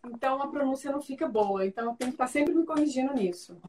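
A woman's voice: sits high, lively intonation, fast, background noise. A woman with a Brazilian Portuguese accent, chatting as an interview guest over a video call, full of tag questions and restarts. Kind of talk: casual conversation. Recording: voice distant from the mic.

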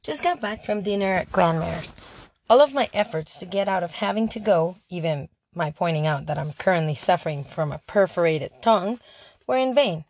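A woman's voice: on the low side, animated, normal speed, a little mellow. A woman reading a storybook diary aloud as a sarcastic, put-upon teenage princess. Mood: exasperated, wry. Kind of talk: amateur audiobook reading of a first-person diary.

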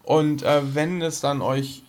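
Rambling man, slightly high voice. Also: steady tone, slow pace. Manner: colloquial, rambling. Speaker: podcast co-host. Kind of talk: casual chat about science fiction.

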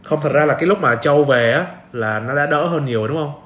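A man's voice: fairly high pitch, quick pace, fairly bright tone. Young southern Vietnamese man, echoey room. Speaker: podcast host. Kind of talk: casual interview chat. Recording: roomy echo, noticeable background hiss.

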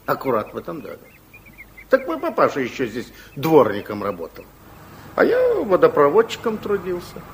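An actor playing a chatty, folksy old retired plumber in a 1960s radio play, colloquial, exclamatory and deferential, with a creaky voice. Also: male voice, fairly high pitch, huge pitch swings, normal speed. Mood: proud, folksy. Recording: 1960s radio recording, faint noise.

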